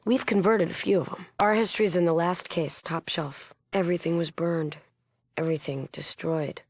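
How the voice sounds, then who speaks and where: An actress playing a solemn, idealistic leader of a post-apocalyptic peace movement in a video game, earnest and guarded. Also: female voice, fairly low pitch, fairly even intonation, normal speed, darkish tone, no room sound, clean studio sound. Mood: somber, explanatory. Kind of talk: acted video game dialogue.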